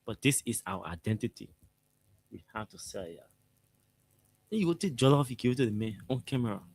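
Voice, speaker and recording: A Liberian man hosting a radio talk show, emphatic and animated, often repeating himself. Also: mid-range voice, a little fast, husky. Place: radio studio.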